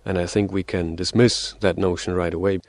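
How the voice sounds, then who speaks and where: A man with a very low voice answers interview questions in a dry, close studio sound. He explains his ideas step by step, stumbling over restarts and fillers as he goes. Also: fairly even intonation, fairly quick, no room sound. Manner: hesitant, many restarts and fillers; explains in numbered theories. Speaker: guest.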